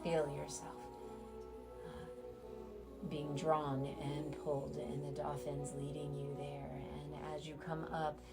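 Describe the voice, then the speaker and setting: A woman guides a meditation in a low voice with a gravelly fry at the edges. She speaks very slowly and soothingly, stretching her phrases and leaving long pauses between them. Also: level tone. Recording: loud music under the voice. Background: music.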